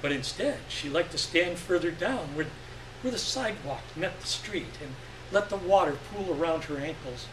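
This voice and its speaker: A man giving an expressive live reading of literary fiction, with deliberate phrasing and dramatic pauses. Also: on the high side. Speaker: story reader. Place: live reading event indoors. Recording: echoey room, distant mic, faint hum.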